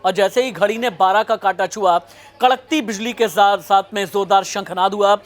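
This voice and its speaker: A man's voice: high-pitched, steady tone, normal speed. A man presenting history as a news host, narrating it as a dramatic story in a suspenseful, emphatic manner.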